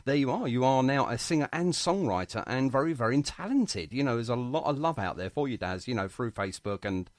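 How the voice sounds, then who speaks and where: A man's voice: mid-range voice, lively intonation, a little fast, hardly any pauses, no room sound. A man hosting a radio music interview in a chatty, effusive and complimentary way. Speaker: radio show host.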